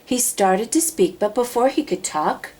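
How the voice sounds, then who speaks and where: Slow, expressive woman reading a storybook. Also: a little high, slight background noise. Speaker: storybook reader. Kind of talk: expressive children's read-aloud narration.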